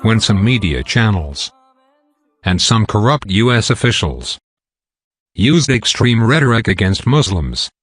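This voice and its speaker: A man narrating a video compilation in a measured, earnest voice-over. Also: fairly low pitch, big pitch swings, fairly bright tone, husky, dry recording, clean studio sound.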